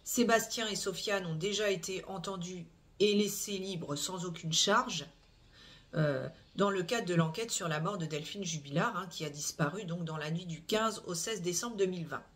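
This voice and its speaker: A channel host recounting a criminal case to viewers in a measured, explanatory way, with frequent hesitations, filler sounds and restarts. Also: medium pitch, fairly bright tone. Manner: measured, explanatory delivery with tag "hein". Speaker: true-crime channel host.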